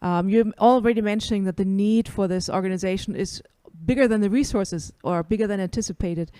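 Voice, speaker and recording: A woman moderates a panel conversation and asks probing follow-up questions. Her mid-range voice has a mellow tone. The sound is dry and close. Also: medium pitch, fairly quick, smooth, no echo. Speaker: festival director, moderator.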